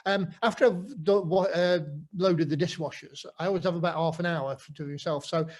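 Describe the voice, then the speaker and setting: Brief: middle-aged man, Nottingham accent, interviewer, hesitant and rambling, fairly high voice. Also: steady tone. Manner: halting, full of ums and restarts. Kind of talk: casual conversation.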